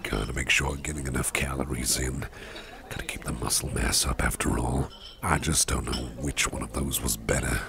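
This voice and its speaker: A man playing a big, muscular, jovial boss with a hearty appetite in an ASMR dinner-date roleplay, speaking in a folksy, soothing, reassuring way. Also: steady tone. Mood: indulgent, affectionate. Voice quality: very deep, gravelly. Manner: slow, soothing, chuckling, folksy, repeats phrases reassuringly. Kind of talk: ASMR roleplay, personal attention. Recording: restaurant crowd murmur behind the voice.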